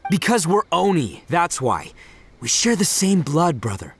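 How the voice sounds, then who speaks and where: A man's voice: a little high, big pitch swings, measured pace, slightly rough, exaggerated voice. A man voicing a brash, big-hearted young oni gang leader in a video game, in a boisterous, slangy, easygoing manner. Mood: sincere. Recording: music under the voice.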